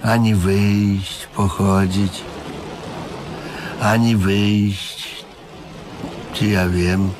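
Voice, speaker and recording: An actor playing a dim, stubborn, grumbling man with a naive deadpan manner in a comic radio play. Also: lowish voice, drawn-out words, pauses often. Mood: deadpan, bored. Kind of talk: comedic radio play acting. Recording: noisy old radio recording.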